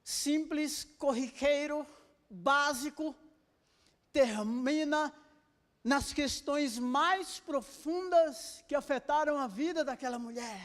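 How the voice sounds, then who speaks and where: High-voiced Brazilian pastor preaching. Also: male voice. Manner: builds to loud, slow, emphatic preaching climaxes. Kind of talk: sermon. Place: church.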